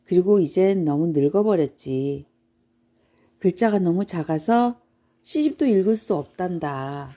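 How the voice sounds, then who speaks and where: A woman plays an ancient toothless giant from a children's storybook, grumpy and hungry. The delivery is gruff and emphatic, in a mellow timbre lifted above her plain narration. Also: fairly low pitch, lively intonation, unhurried. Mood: wistful, grumbling.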